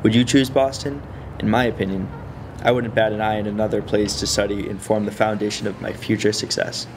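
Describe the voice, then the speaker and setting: A man's voice: on the low side, little pitch movement, brisk, background noise. A young man reading an earnest, formal scripted narration for a student documentary. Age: young adult. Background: music.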